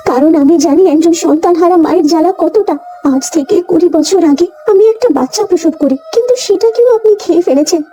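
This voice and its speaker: A woman's voice: very high-pitched, fast talker, mellow. A woman playing a motherly old cow in a cartoon, tender and self-sacrificing, with a pleading, endearing way of talking. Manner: pleading, endearing address to her child. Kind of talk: cartoon voice acting. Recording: music behind the voice.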